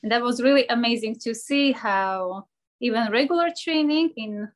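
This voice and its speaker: Woman, English, cheerful, slightly high voice, interview guest. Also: lively intonation, moderate pace. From Slovakia. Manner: cheerful, laughs often, drops articles. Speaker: ballet dancer and personal trainer. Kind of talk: casual interview answers.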